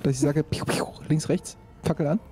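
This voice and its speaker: A man's voice: on the high side, fairly even intonation, moderate pace, dark tone, soft background music. A man playing a tabletop game, talking casually as he describes what he does.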